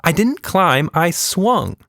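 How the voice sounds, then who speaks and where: A man performs a storybook little girl in a raised, high voice. She is plucky and curious, speaking with earnest, child-like expression. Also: sing-song, takes it slowly, vocal fry, fairly bright tone, no room sound, clean studio sound, exaggerated voice.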